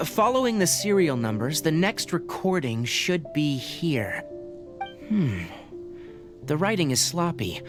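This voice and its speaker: A man voicing a composed, observant young forest ranger and scholar in an anime-style game, speaking in a measured, explanatory way. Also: on the high side, big pitch swings. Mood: pondering. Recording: game music behind the voice.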